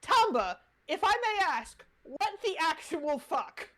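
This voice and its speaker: A woman's voice: very high voice, sing-song, slow, fairly bright tone. A woman giving casual reaction commentary with playful, exasperated asides. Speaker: reaction show host.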